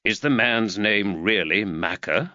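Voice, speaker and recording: A man voicing a polite, measured detective with a dry wit, close to his own voice. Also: on the low side, expressive, pushed and bright, no room sound, muffled recording. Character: calm, dry-witted young male detective.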